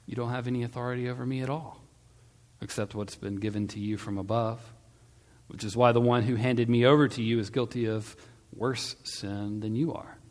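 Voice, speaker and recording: A man voicing a calm, solemn male holy teacher on trial, speaking in a measured, quietly assured way. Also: medium pitch, natural voice. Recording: long hall-like reverb.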